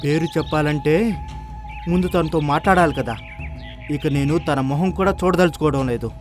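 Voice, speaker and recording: A man plays an earnest cartoon bull who feels wronged and indignant. The voice is fairly high, over light background music. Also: fairly quick, lightly acted. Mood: hurt. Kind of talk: cartoon moral story voice acting.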